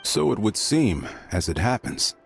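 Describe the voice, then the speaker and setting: Caring chief in a game, lowish voice, measured but really expressive. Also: male voice, creaky, exaggerated voice. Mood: calm, concerned. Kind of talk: video game voice acting. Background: music.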